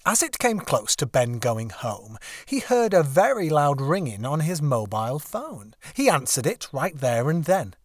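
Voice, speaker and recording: A man narrating a children's story as an audiobook reader, with expressive, deliberate phrasing. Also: fairly high pitch, no room sound, clean studio sound.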